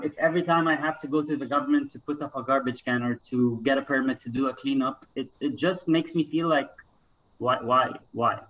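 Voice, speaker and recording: A man's voice: medium pitch, fairly bright tone, muffled recording. A man from Egypt speaking casually and earnestly as an interview guest, thinking aloud as he talks. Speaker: interview guest, climber and environmental advocate.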